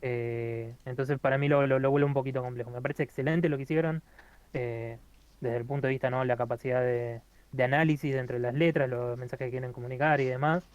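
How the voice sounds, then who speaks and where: Male co-host, halting casual delivery, muffled close dry recording. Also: medium pitch, steady tone, smooth, no echo. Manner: hesitant, many fillers and restarts. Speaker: podcast co-host reviewing an album. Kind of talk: casual spoken album review.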